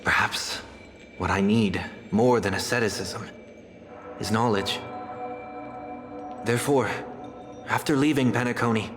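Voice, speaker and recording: An actor playing a refined, gravely courteous young idealist man in a video game, speaking in a formal, measured, earnest way. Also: lowish voice, over music, close to an everyday voice. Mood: earnest, reflective. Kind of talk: dramatic video game dialogue.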